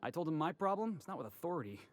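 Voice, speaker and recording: An actor playing an eager, cocky young dock worker in a video game, earnest and a bit stiltedly formal. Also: male voice, fairly high pitch, sing-song, racing, a crowd in the background. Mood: indignant, boastful. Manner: earnest, stiltedly formal when reciting, boastful.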